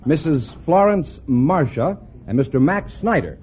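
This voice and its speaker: A man's voice: fairly high pitch, animated, a little slow, background noise. A man announcing on a 1950s radio quiz, delivering scripted introductions to the show. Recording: tinny old radio broadcast, audience behind. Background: studio audience.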